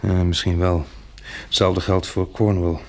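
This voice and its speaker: An actor playing a practical, resolute man planning survival after a catastrophe in a Dutch radio drama, hesitating as he thinks aloud, with a husky, gravelly voice. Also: low voice, level tone, measured pace. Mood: businesslike.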